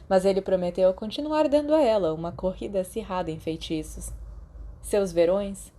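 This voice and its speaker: A woman's voice: medium pitch, dark tone. A woman with a Brazilian Portuguese accent reading a scripted story as an audiobook-style narrator. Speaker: podfic narrator. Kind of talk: scripted fiction narration with acted dialogue.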